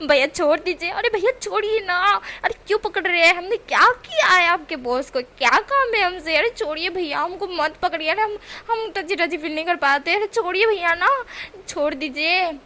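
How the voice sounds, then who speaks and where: A woman voicing a young man who loudly pretends to be a poor, naive village boy, whining and pleading melodramatically. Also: extremely high pitch, expressive, moderate pace, pitched above the performer's own voice, full character voice. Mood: pleading shouts. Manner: whiny, melodramatic shouting and pleading.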